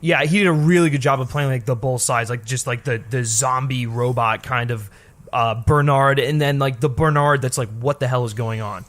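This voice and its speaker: A man's voice: medium pitch, moderate pace, fairly bright tone. A man co-hosting a casual review show, talking in a rambling, conversational way. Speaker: podcast co-host.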